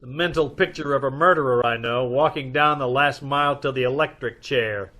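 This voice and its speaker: Man acting a deadpan, wry detective. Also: mid-range voice, a little fast, close to an everyday voice. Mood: wry, reluctant. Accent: American. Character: wry, reluctant, unflappable middle-aged gentleman detective. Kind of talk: old-time radio detective comedy acting.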